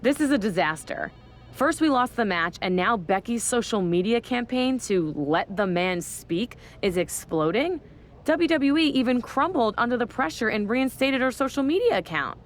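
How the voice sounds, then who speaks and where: A woman's voice: a little high, animated, normal speed, slight background noise, natural voice. A woman playing a bossy wrestling general manager with a stern, commanding manner in a video game cutscene. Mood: anxious, annoyed. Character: bossy, scheming female wrestling general manager, easily angered. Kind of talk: scripted game cutscene dialogue.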